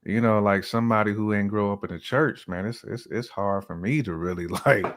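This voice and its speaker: A man's voice: fairly low pitch, a little fast. A man in a casual conversation as a podcast guest, talking colloquially and emphatically while searching for his words.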